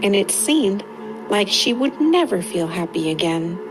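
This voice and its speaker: A woman reading a picture book aloud to young children in an expressive, deliberate way with dramatic pauses. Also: medium pitch, over music, rough recording. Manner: slow, deliberate, animated storytelling with dramatic pauses. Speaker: storytime reader for young children. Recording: clearly audible background noise.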